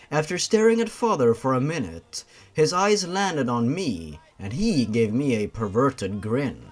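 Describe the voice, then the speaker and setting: A man reading scripted horror fiction as a narrator, in a theatrical, dramatic way. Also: mid-range voice. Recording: music behind the voice. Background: music.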